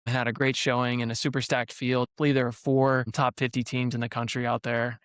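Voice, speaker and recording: A man's voice: medium pitch, clear, resonant tone, no echo, clean studio sound. A young man hosting a podcast interview in an effusive, enthusiastic way, hyping up his guest. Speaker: podcast host interviewing athletes.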